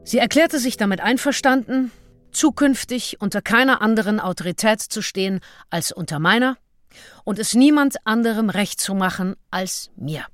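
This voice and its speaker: A woman's voice: medium pitch, dry recording, clean studio sound, natural voice. An actress playing a candid, self-assured nineteenth-century woman diarist in a radio play, speaking matter-of-factly. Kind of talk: radio play diary reading.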